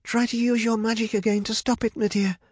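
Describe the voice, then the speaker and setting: A man's voice: fairly high pitch, steady tone, normal speed, mellow, slightly rough, no room sound, studio quality, voice pushed up. A man voicing a cautious, scolding young woman, an insistent elder sister in a fantasy storybook. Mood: fearful, pleading.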